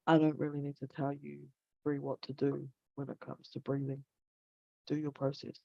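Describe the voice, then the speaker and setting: A woman's voice: very deep voice, monotone, normal speed, gravelly, no room sound. New Zealand woman host, meandering spiritual talk, dry dull muffled audio. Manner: meandering, searching for words, spiritual. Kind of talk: casual online talk, guided breathing.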